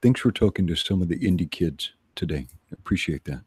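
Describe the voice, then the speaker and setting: A low-voiced man with a husky, dark tone chats casually as a guest on a stream. He speaks haltingly, rephrasing as he goes, and the sound is close and dry. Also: expressive, no echo. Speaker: guest on a livestream, voice reader. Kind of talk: casual chat.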